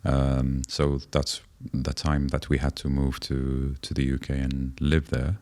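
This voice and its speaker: A man in his forties with an extremely low voice gives measured answers as a podcast guest. He talks in short, listed phrases with small pauses between them. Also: monotone, darkish tone. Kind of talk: conversational interview answers.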